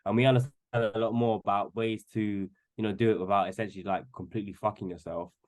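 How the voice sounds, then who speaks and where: A man's voice: fairly low pitch, fairly even intonation, fast, darkish tone. Guest, male, twenties, casual and rambling, lots of "like" and "you know". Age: 20s. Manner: rambling, many restarts and fillers. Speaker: podcast guest. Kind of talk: casual chat.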